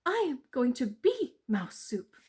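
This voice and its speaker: A woman's voice: high-pitched, big pitch swings, measured pace, a little mellow, slightly rough, pitched above the performer's own voice, full character voice. A woman voicing a small, clever storybook mouse with an emphatic, coaxing delivery. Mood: alarmed, pleading. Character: small clever mouse, pleading yet quick-witted storyteller. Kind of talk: children's storybook character voice.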